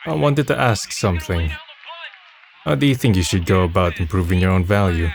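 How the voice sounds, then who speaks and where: An actor playing a wry, introspective young college student who narrates and speaks as the lead in a manga drama dub, understated and reflective. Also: male voice, low-pitched, a little fast, gravelly. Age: young adult. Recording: music under the voice.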